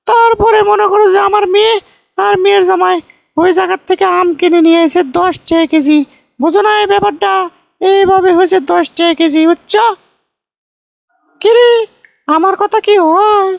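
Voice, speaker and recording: Bossy, boastful cartoon auntie: piercingly high pitch, dry close sound, Bogra accent, folksy scolding delivery. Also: steady tone, moderate pace, gravelly, long pauses, no room sound. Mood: smug storytelling. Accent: northern Bangladeshi (Bogra). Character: bossy scolding village aunt, boastfully foolish, middle-aged woman. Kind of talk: comic cartoon dialogue, rambling storytelling.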